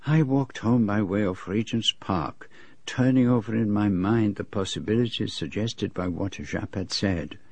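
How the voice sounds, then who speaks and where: A middle-aged foreign detective in a radio drama, with a French-speaking European accent and a slightly husky edge. He is shrewd and unfailingly polite, choosing formal, precise phrases. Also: fairly low pitch, unhurried, darkish tone, dry recording. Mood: musing. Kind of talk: radio drama dialogue and narration.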